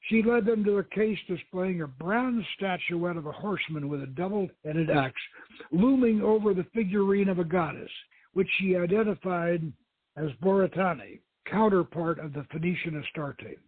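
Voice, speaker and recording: A man's voice: high voice, normal speed, breathy edge. A man reading an adventure story aloud as a dramatic narrator and host. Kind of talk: dramatic audiobook-style narration. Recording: tinny band-limited radio stream, roomy echo.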